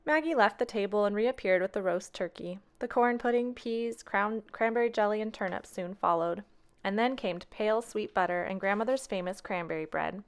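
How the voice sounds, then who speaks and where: A woman storyteller reading a children's tale aloud with expressive narration. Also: medium pitch, normal speed, clear, resonant tone.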